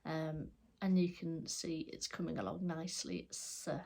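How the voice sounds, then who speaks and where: A woman's voice: low voice, animated, normal speed, creaky, a little mellow. A middle-aged woman with a stuffed-up voice, chatting casually as she shows her cross stitch projects, rambling and correcting herself. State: stuffed up, congested. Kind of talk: casual show-and-tell chat.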